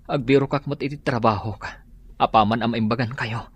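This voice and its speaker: A man's voice: mid-range voice, steady tone, fairly quick, a bit bright, breathy edge. A man playing a remorseful, penniless grown son who pleads with his mother in an Ilocano radio drama, earnest and apologetic.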